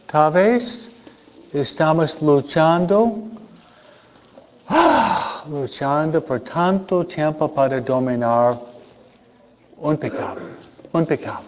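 A male priest delivers a casual sermon at a very unhurried pace, with his voice sitting a little high. He hesitates often and tosses rhetorical questions to the congregation. The recording sounds muffled and lo-fi. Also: lively intonation. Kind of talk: conversational sermon with humor.

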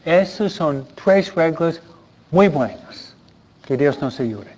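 A priest delivering an earnest sermon in Spanish, often pausing for rhetorical questions. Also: male voice, fairly high pitch, animated, darkish tone. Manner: earnest, preaching with repeated pleas and rhetorical questions. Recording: dull lo-fi sound.

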